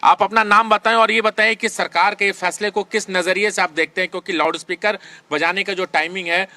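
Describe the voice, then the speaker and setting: A man's voice: high voice, steady tone, a little fast, sharp tone. A man working as a TV field reporter, presenting news and questioning people. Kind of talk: news reporting and street interviews.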